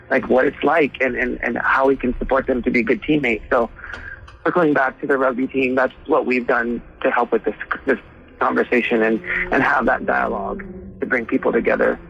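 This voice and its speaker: A man's voice: medium pitch, steady tone, brisk, gravelly, dull audio. A man from Hawaii, a rugby coach being interviewed, explaining things in an easygoing, rambling way. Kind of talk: casual interview talk.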